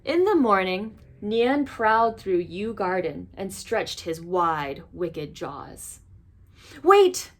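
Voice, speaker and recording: A woman reading a children's storybook aloud as an expressive narrator. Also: medium pitch, slow pace, few pauses. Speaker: storybook reader.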